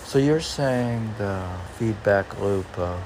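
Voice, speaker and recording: A man reading poetry and commenting on it informally in a halting, musing, self-questioning manner. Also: lowish voice, a little mellow, slight background noise, poor audio. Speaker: poet reading and commenting on own poems.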